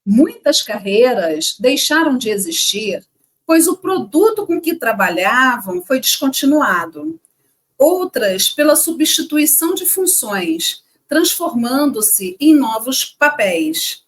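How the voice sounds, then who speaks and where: A woman's voice: sits high, sing-song, slow pace. A woman co-hosting a casual chat, reading parts of a script and talking chattily to viewers. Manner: chatty, addresses audience as "gente". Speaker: co-host.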